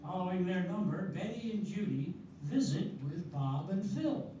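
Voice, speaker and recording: A man's voice: high-pitched, lively intonation, noisy background. A man narrating and announcing a readers theatre show, heard from far off in an echoey hall. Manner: measured storytelling, grand announcing. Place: senior center hall. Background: loud music.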